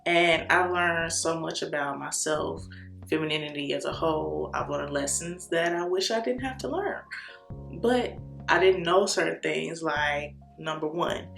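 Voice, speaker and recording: A young woman with a Chicago accent, talking to the camera in an energetic, slangy way and laughing often. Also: low voice, unhurried, gravelly. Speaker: vlogger. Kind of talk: casual talk to camera. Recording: echoey room with soft guitar music.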